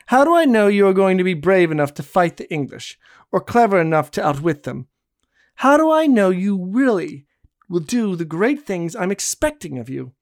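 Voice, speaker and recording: A bold, imperious young woman who mocks and commands in a raised, animated voice, as a male storyteller puts her on. The tone carries a gravelly edge. The recording is close and dry. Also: high voice, normal speed, no room sound, voice pushed up. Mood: challenging. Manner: teasing, imperious.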